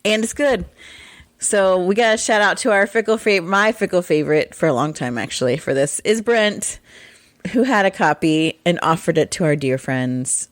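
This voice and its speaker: Chatty husky-voiced woman podcast host. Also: on the low side, lively intonation, normal speed, dry recording. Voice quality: husky morning voice. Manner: chatty, laughs often, rambles. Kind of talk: casual chat.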